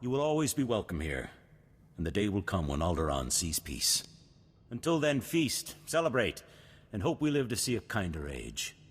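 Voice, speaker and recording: An actor playing a noble ruler with formal, grand oratory in a video game. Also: male voice, fairly low pitch, big pitch swings, moderate pace, breathy edge, heavily acted. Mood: grateful, warm. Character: stately, grateful male noble ruler.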